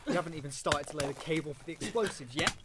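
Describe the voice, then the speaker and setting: An actor playing a naive, worried underage soldier in a First World War audio drama. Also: male voice, a little high, fast talker, close to an everyday voice. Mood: uneasy. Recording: very noisy, clicking, off-mic.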